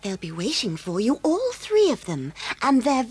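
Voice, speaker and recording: A loving vixen wife in an audio storybook. Her voice is high and lilts widely, fond and careful as she fusses over her family. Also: wildly swooping pitch, normal speed, breathy edge, exaggerated voice. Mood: worried. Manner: fond, gently cautioning. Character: loving, cautious mother fox, fondly fretful wife.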